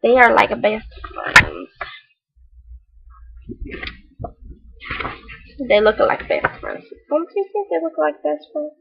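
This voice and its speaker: A woman playing a quirky, earnest read-aloud hostess with a mock foreign accent, telling a children's story with exaggerated delivery and deadpan asides. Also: fairly high pitch, moderate pace, vocal fry, dull audio, slight background noise.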